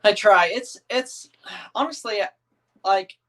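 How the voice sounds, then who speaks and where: A man's voice: high-pitched, expressive, slow, fairly bright tone. A man in his thirties from the northern United States, answering viewer comments in a loose, rambling, easygoing way with plenty of filler words. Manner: rambling, easygoing, many "um"s and restarts; reads viewer comments aloud and answers them. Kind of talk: casual livestream chat.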